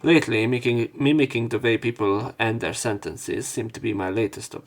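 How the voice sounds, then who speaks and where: A man reads visual novel text aloud for a let's play. His voice sits at a medium pitch, and he moves between narration, dialogue and his own commentary. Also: steady tone, fairly quick.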